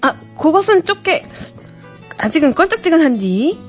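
Woman playing a high-voiced coy new bride. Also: very animated, moderate pace, faint music behind. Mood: bashful, hesitant. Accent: exaggerated, unconvincing southwestern Korean (Jeolla) dialect. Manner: coy, hesitant. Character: coy, reluctant young new bride with rustic dialect.

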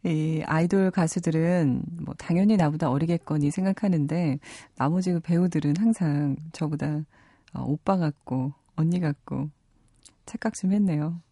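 A woman's voice: low-pitched, normal speed, warm tone, husky, no room sound. A woman in her thirties from South Korea hosting a radio program, moving between scripted narration and chatty talk, close on a studio microphone. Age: 30s. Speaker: radio host of a movie program.

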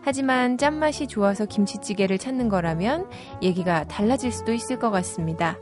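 A woman in her thirties hosting a radio magazine show, reading a scripted segment smoothly and then chatting with her guest. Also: medium pitch. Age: 30s. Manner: smooth scripted delivery, chatty and laughing in talk. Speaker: radio host. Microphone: studio microphone. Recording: studio radio with background music. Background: music bed.

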